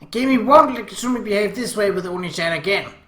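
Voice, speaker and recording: A man's voice: sits high, fast, bright, forceful tone, pitched above the performer's own voice. A man voicing a bratty, clingy younger sister in an anime visual novel, whiny and demanding. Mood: smug, teasing. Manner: whiny, demanding, refers to self by name. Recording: roomy echo.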